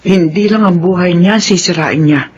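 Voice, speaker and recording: A woman's voice: lowish voice, moderate pace, breathy edge, lo-fi sound. An actress playing a fretful mother of two grown sons in a Filipino radio drama, with a Filipino accent. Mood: worried, pleading.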